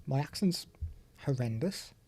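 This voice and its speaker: A British man hosts a live stream, walking through a product demo in a chatty, step-by-step way. His voice is mellow and soft-edged, and he turns aside to chat with viewers between explanations. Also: mid-range voice, lively intonation, a little slow, a little hiss or hum. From United Kingdom.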